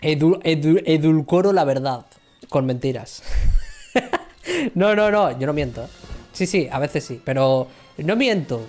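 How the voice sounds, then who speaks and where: A man's voice: a little high, animated, a little slow, darkish tone, faint music behind. A man in his thirties chatting casually with his viewers while he plays, joking and mocking himself from his gaming chair at home. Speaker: livestream gamer. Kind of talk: casual banter with chat.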